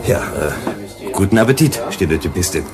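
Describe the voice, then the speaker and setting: A man's voice: sits low, sing-song, normal speed, a loud crowd. An actor playing a suave, probing male visitor who teases and flirts in a German science-fiction radio drama. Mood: charming, curious. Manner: teasing, questioning, ironic.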